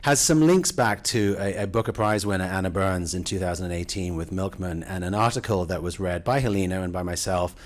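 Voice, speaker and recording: A man introducing a public event in an informal, conversational and enthusiastic way, with halting phrases. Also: sits low. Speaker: cultural affairs official introducing event. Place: event room at a university.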